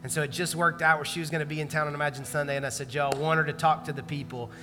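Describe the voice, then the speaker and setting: A man leading a church service as pastor, talking loosely and conversationally, full of likes and restarts. His voice sits fairly high and has a bright, forward edge. It rings through a large hall with a long reverb, and music plays softly underneath. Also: fairly even intonation, racing. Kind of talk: church announcements and introduction.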